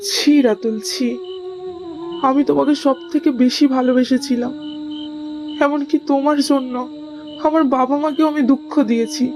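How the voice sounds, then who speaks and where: A woman playing a heartbroken, indignant young bride-to-be in an animated horror story. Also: high-pitched, unhurried, pauses often, music in the background. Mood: hurt, indignant.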